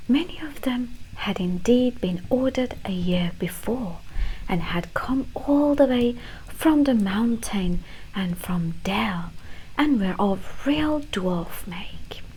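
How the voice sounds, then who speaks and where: Slow whispering woman reading a story. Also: medium pitch, expressive, a little hiss or hum, low-quality recording. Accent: mixed British and Canadian. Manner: gentle, hushed, unhurried delivery. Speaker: ASMR storyteller.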